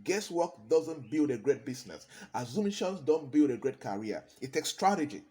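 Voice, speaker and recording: A man's voice: medium pitch, sing-song, moderate pace, warm tone. A man, a consultant giving a motivational talk, hammering points home by repeating key phrases. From Cameroon.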